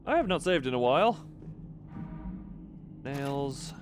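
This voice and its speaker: A man doing live gameplay commentary, thinking out loud and often repeating and drawing out his phrases as he muses to himself. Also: medium pitch, big pitch swings, slow, music in the background. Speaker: video game streamer.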